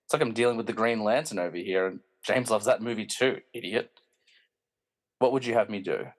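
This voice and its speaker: A man's voice: fairly low pitch, brisk, close to an everyday voice. An actor playing a bitter, villainous snobbish alter ego in a radio play, pompous and self-important as he rants. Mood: defeated. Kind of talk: hobby podcast radio play acting.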